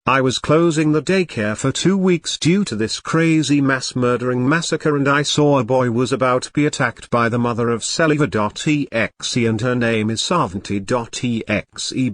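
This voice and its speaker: A man's voice: mid-range voice, moderate pace, few pauses, no room sound, natural voice. Man as a timid daycare attendant, very dry. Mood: earnest. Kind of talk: amateur scripted audio drama.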